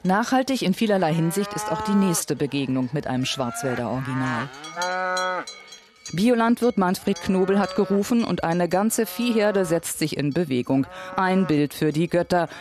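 A man reading scripted documentary voice-over narration in a measured, even manner. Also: high voice.

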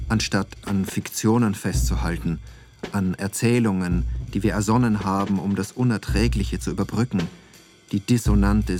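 A male actor playing a reflective photographer, giving a measured, contemplative literary monologue in an audio play. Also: on the low side, lightly acted.

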